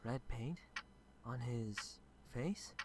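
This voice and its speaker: Measured mid-pitched male witness. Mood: calm, firm. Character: calm, matter-of-fact male witness. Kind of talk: game drama dialogue. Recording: clear ticking background noise.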